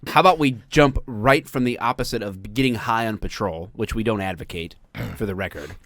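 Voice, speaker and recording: A man co-hosting a podcast, ribbing his friends with dry, deadpan jokes. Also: lowish voice, a little fast, dry recording. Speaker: podcast co-host. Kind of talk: casual chat.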